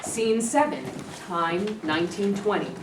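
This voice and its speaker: A woman's voice: mid-range voice, measured pace. A woman reading out stage directions as scripted narration. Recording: echoey room, distant, noisy.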